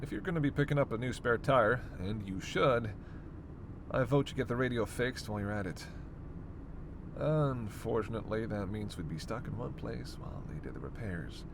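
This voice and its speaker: A man's voice: lowish voice, animated, normal speed, vocal fry, noisy background. A man playing a snarky, teasing vampire captive in a roleplay audio drama, talking with sardonic, flirtatious banter. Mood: sardonic, grumbling. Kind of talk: roleplay audio drama, one-sided dialogue.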